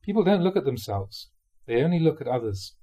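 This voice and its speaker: A man reading Buddhist teachings aloud like a monk giving a dharma talk, with measured, emphatic phrasing. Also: a little high, sing-song, dark tone.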